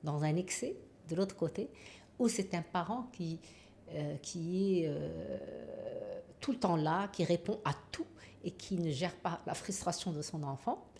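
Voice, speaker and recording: A woman hosting a podcast interview, speaking in a measured, hesitant way with frequent fillers. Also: fairly low pitch, animated, vocal fry, warm tone, a little hiss or hum. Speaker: podcast host.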